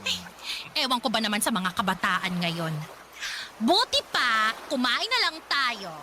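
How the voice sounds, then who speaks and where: Radio drama mother: middle-aged, defensive, sarcastic, high-pitched and very animated. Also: unhurried, noisy background. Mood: sarcastic, dismissive. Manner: scolding, sarcastic, melodramatic. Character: brash, defensive middle-aged mother smitten with younger boyfriend. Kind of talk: Tagalog radio drama acting. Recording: loud music bed behind the dialogue.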